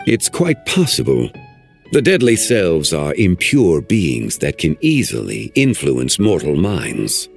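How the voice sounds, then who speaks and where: A man voicing an ancient male guardian in a video game, speaking in a formal, measured, old-fashioned way. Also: sits low, huge pitch swings, vocal fry, soft background music, exaggerated voice. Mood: grave.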